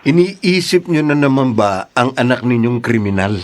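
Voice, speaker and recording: An actor playing a stern, resentful politician son in an audio melodrama, speaking emphatically. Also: male voice, mid-range voice, animated, normal speed, natural voice. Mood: bitter, angry. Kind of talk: melodramatic audio drama acting.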